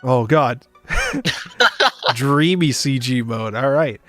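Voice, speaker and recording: Male story narrator, mid-pitched, casual streamer delivery, dry sound over background music. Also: animated, drawn-out words, a bit bright, dry recording. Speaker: visual novel reader on a stream. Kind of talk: narrating a visual novel aloud, with casual asides. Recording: music behind the voice.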